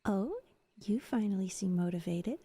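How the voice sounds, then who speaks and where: A woman playing an eager, curious young elf researcher in an ASMR roleplay, soft-spoken and often dropping into whispers. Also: mid-range voice, normal speed, husky, no echo, close to an everyday voice.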